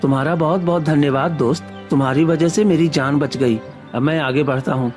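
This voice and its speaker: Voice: medium pitch, steady tone, fairly quick, a little mellow, lightly acted. A performer voices a kind, honest young villager from a moral tale. The delivery is earnest and devout, with faint music behind it. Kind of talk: acted dialogue in Hindi moral story.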